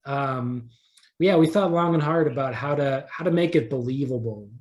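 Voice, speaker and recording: A man speaking as an interview guest, chatting casually and thinking aloud in halting, repeated phrases. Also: medium pitch. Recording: echoey, roomy sound.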